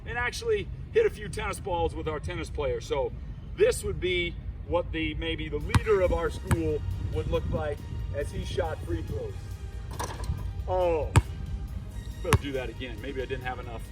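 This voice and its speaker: A man's voice: a little high, very animated, moderate pace, over loud music. Guy teacher doing a video for his students, kinda folksy and wordy, echoey room sound. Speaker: teacher and host of remote-learning videos. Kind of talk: casual educational vlog for students, with read-aloud.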